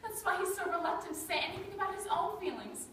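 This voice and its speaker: A woman's voice: extremely high pitch, expressive, quick pace, a bit bright. A young woman playing an anxious, overthinking, romantic girlfriend in an earnest, melodramatic readers' theater comedy. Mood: fretful. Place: school chapel stage before an audience. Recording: very echoey hall, distant mic, faint noise.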